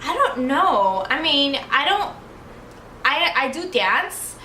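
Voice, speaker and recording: A woman's voice: high voice, sing-song, slow pace, sharp tone, slight background noise. A young woman, born in Ukraine and raised in the United States, chatting casually to camera as she answers tag questions, with lots of likes and ums. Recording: echoey room.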